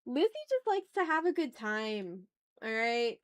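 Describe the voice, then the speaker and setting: A woman's voice: a little high, big pitch swings, a little slow. A woman hosting a casual drawing livestream, playfully chatting with viewers and thinking aloud as she works. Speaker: art livestream host.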